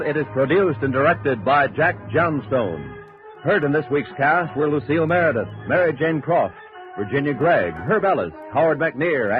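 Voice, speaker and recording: A man with an American accent reading scripted credits as a 1950s radio announcer, formal and polished. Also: a little high. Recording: tinny vintage radio broadcast, music behind.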